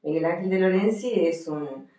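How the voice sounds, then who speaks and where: A woman's voice: on the low side, normal speed, warm tone. An Argentine woman, an author and historian, presenting her work as a guest and recounting it to listeners. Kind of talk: book presentation, reading aloud and recounting. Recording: echoey room, faint music.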